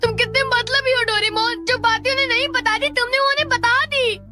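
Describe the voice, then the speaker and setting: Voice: very high-pitched, steady tone, a little fast, music in the background. An actor playing a whiny, easily scared young schoolboy in a Hindi cartoon dub, pleading and dramatic. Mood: upset. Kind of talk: Hindi-dubbed cartoon acting.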